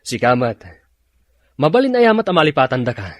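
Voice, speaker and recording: A man's voice: mid-range voice, sing-song, fairly quick, dry recording, close to an everyday voice. An actor with a Filipino accent playing a sociable man chatting with an old comrade in a radio drama.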